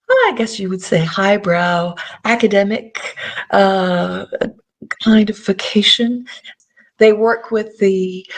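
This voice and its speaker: A woman with a Canadian accent, a podcast guest telling history with enthusiasm between many pauses and fillers. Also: medium pitch. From Scotland. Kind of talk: interview chat.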